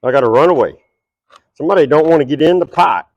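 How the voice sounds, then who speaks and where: A man's voice: a little high, lively intonation, fast, no room sound, lo-fi sound. A middle-aged man with a Texas twang, hosting a cooking show at an outdoor backyard table and chatting straight to his viewers.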